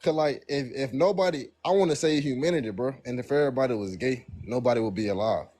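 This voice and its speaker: A young man of nineteen with a husky, slightly rough voice, arguing his point in loose street slang. He repeats phrases and gets defensive when pressed, speaking at a middle pitch. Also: fast talker, darkish tone. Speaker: caller. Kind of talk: casual debate on a call.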